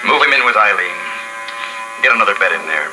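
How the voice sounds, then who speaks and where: A man's voice: fairly low pitch, expressive, brisk, noisy background, natural voice. An actor playing a weary man who leads a handful of plague survivors and narrates their story in an old radio drama. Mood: urgent. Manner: somber, earnest, musing. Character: weary man leading a few plague survivors, grave father. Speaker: narrator and lead character. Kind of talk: 1950s radio drama acting. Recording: old radio broadcast with music behind.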